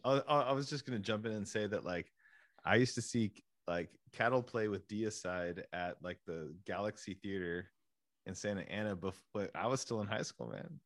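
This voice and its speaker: A man's voice: lowish voice, normal speed, dry recording. A man in his late thirties talking with enthusiasm in casual chat, filling his sentences with "like" and "man". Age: 30s. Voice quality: enthusiastic, says "like" and "man" a lot.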